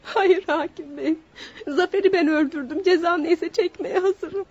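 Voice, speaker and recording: A woman's voice: very high-pitched, unhurried, darkish tone. An actress playing a defiant, remorseful woman accused of killing her husband, with dramatic, emphatic radio-theatre delivery. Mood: resigned. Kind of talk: Turkish radio theatre acting. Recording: muffled lo-fi radio drama recording.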